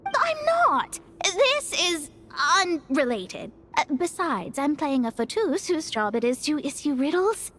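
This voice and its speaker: A woman's voice: high-pitched, big pitch swings, a little slow, fairly bright tone, a little hiss or hum, heavily acted. A woman voicing a proud, easily flustered young astrologer mage in a video game, haughty and dramatic. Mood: defensive.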